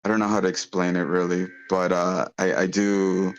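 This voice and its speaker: A man giving casual, halting feedback on a script in an online meeting, feeling his way through his thoughts. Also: deep voice, level tone, muffled recording. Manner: hesitant, halting, rambling. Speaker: script feedback participant at an online table read.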